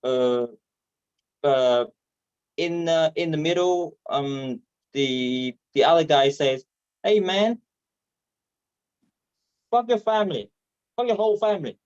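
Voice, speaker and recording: A man from Vietnam with a Vietnamese accent and a stutter, performing stand-up comedy haltingly over a video call. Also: on the high side, animated, a little mellow, clear, resonant tone, dry recording. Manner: hesitant, halting delivery with repeated words. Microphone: video call microphone. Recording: dull, muffled online call audio.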